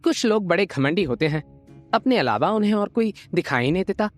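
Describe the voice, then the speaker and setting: A woman's voice: lowish voice, expressive, fairly quick, faint music behind, lightly acted. A woman acting a spiteful, haughty young schemer in an audio drama, with a mocking, sneering manner. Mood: sly, taunting.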